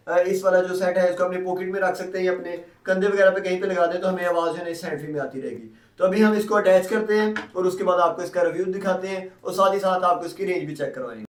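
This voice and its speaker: A man giving a casual, step-by-step product unboxing and demonstration, often checking in with small tag phrases as he explains. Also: high voice. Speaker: product reviewer. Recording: echoey room.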